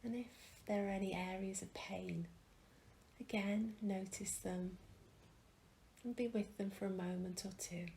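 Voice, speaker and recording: A woman leading a guided mindfulness meditation, speaking calmly and gently with long pauses and soft, breathy phrase endings. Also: mid-range voice. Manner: calm, gentle, unhurried with pauses. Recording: faint background noise.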